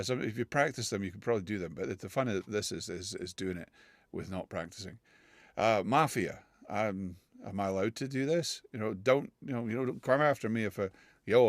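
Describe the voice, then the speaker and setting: A man's voice: lowish voice, dry recording. A man from the United Kingdom trying out accents for fun in a casual comedy video, laughing at himself and chatting between attempts. He talks loosely, in stops and starts. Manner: self-mocking, laughs often, switches in and out of accents. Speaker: voice-challenge vlogger.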